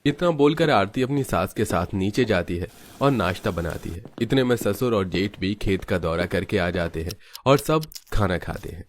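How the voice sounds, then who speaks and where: Male narrator, lower register, scripted cartoon storytelling, slightly noisy recording. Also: animated, moderate pace, few pauses.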